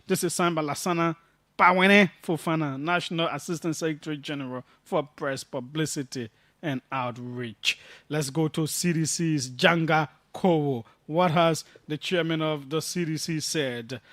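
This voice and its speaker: High-voiced man hosting radio talk. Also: steady tone, moderate pace, fairly bright tone, dry recording. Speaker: radio talk show host. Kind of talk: live current affairs talk, reading statements aloud.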